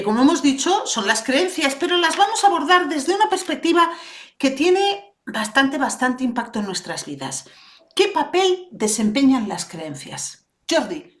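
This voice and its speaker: Female host, high voice, friendly and guiding, echoey sound. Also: very animated, moderate pace. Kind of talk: hosting a conversation.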